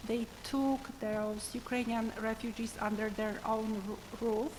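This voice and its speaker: A woman's voice: fairly high pitch, fairly even intonation, unhurried, a little mellow. A woman doctor, speaking hesitantly at a community panel, often restarting her sentences. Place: public meeting hall. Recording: reverberant hall with faint background noise.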